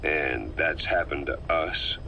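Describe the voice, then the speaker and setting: An actor playing a stern military officer in a video game, gruff, terse and probing. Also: male voice, extremely low pitch, expressive, takes it slowly, highs cut off, slight background noise, heavily acted. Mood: grim, curious. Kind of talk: video game dramatic dialogue.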